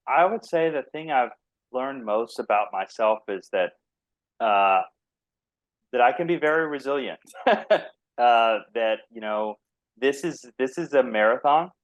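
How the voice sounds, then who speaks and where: A man's voice: medium pitch, a little slow, dry recording, low-quality recording. A man from Texas, a company founder answering interview questions in an easygoing, earnest way, laughing often. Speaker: biotech company founder, guest.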